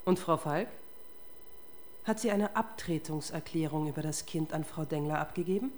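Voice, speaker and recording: A woman's voice: deep voice, moderate pace, darkish tone, close to an everyday voice. An actress playing a tough, relentless female police detective in a German radio crime drama, confrontational and sardonic.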